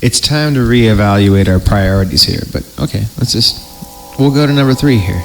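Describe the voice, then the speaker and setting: A man's voice: on the low side, normal speed, slight background noise. A man hosting a horror story podcast, narrating theatrically and breaking off for joking asides when he stumbles. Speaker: podcast host reading horror stories.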